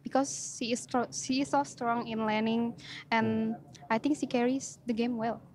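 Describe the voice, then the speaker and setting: A woman's voice: fairly high pitch, steady tone, moderate pace, a little mellow. Young Indonesian woman, shy answers. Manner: short, shy answers in English. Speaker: esports player.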